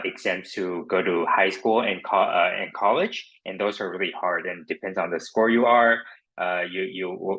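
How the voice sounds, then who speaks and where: A man's voice: sits low, pushed and bright, low-quality recording. A man from Taiwan with a Taiwanese accent chats casually as an interview guest, hesitant and leaning on filler phrases like "you know". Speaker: crypto startup founder and podcast guest.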